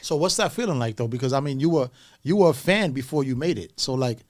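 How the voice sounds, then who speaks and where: A man's voice: mid-range voice, expressive, quick pace, darkish tone. A man interviewing casually, feeling his way through rambling, slangy questions.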